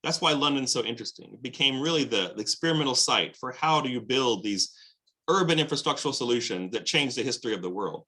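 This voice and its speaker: A man lecturing on world history, with lively emphasis and frequent fillers and restarts. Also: medium pitch, quick pace, poor audio. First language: English. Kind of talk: recorded lecture.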